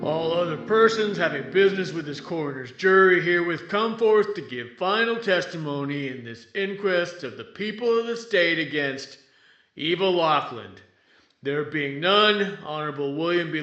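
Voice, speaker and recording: A man acts an officious court crier in an old radio drama. His voice is fairly high and forceful, declaiming formally in a roomy, slightly dull recording. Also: lively intonation, moderate pace. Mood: officious, proclaiming. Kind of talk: 1950s radio drama acting. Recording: roomy, band-limited sound.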